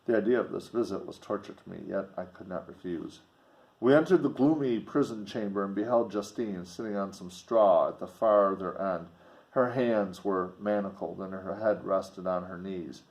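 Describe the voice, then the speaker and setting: A man reading a classic novel in an expressive audiobook style, with spoken commentary. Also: deep voice, normal speed, vocal fry, poor audio.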